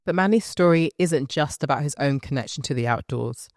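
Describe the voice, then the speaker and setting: A podcast host of medium pitch reading scripted narration. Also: brisk, no room sound, studio quality. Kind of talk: scripted narration over music. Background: music.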